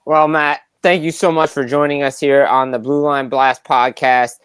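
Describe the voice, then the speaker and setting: A man's voice: medium pitch, flat delivery, moderate pace, fairly bright tone, smooth, no echo. A man hosting a podcast, speaking politely to his guest in a conversational presenting style. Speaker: podcast host. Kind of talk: podcast sign-off, polite thanks.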